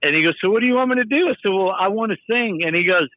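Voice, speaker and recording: A man telling stories in a casual podcast chat, animated, acting out what other people said. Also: high-pitched, racing, no echo. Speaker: guest musician. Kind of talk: casual storytelling. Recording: tinny phone-like sound.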